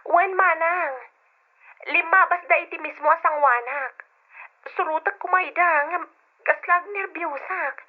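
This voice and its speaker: A woman's voice: extremely high pitch, measured pace. An actress with a Filipino Ilocano accent playing a concerned younger woman reporting over the telephone in an old-fashioned radio drama. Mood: worried, confiding. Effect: telephone filter. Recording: thin, tinny phone line.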